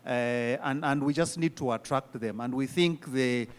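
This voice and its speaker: A man speaking on a conference panel, earnest and pausing on frequent fillers. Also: medium pitch, clean tone. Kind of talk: panel discussion answer.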